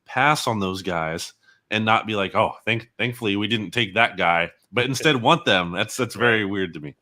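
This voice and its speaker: A man's voice: fairly low pitch, normal speed, sharp tone. A man giving conversational, opinionated sports commentary as an interview guest, often qualifying his points. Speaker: guest football analyst.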